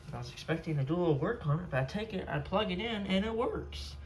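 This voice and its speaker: A man giving a casual, unscripted show-and-tell as a hobbyist collector, rambling and halting as he corrects himself. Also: high-pitched, lively intonation, slight background noise, poor audio.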